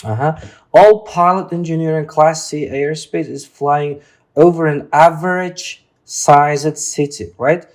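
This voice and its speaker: A man tutoring informally through an exam exercise, speaking haltingly and often checking in with a questioning tag. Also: fairly high pitch, steady tone. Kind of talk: informal lesson, walking through a reading test.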